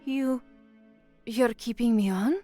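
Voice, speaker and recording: A woman's voice: a little high, takes it slowly, warm tone, faint music behind. An actress playing an anxious young working-class woman and trainee newsreader in an audio drama. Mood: stunned. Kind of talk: audio drama acting with first-person narration.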